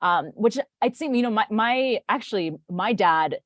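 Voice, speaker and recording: A woman's voice: medium pitch, expressive, moderate pace, a bit bright, no room sound. A woman whose first language was Farsi, chatting animatedly as the interviewer. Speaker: interviewer, fellow author. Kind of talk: casual conversational interview.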